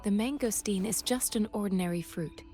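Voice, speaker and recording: A woman's voice: medium pitch, normal speed, warm tone, soft background music, lightly acted. A woman voicing a composed, precise researcher in a video game, speaking formally and methodically. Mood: composed, informative. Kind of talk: video game character dialogue.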